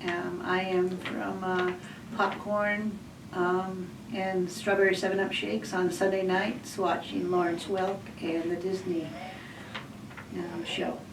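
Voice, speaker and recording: A woman in her forties from Washington State giving a personal recitation in a halting, list-like way with many pauses and ums. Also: lowish voice, little pitch movement, noisy background. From Washington State, USA. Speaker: student speaker. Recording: roomy echo, distant mic.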